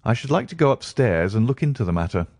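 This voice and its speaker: A man voicing a calm, incisive detective with measured, precise speech in a storybook reading. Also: mid-range voice, husky, no room sound, clean studio sound, pitched above the performer's own voice. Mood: composed.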